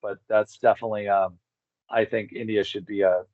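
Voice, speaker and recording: Guy with a lowish voice, rambly casual interview chat, kinda halting, dry dull call audio. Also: flat delivery, dry recording. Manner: halting, informal, emphatic repetition. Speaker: interview guest, tour organizer.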